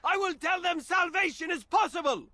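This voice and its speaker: A man's voice: very high-pitched, normal speed, sharp tone, exaggerated voice. An actor playing a fervent, frantic young man who preaches repentance with urgent zeal. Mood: fervent, exalted.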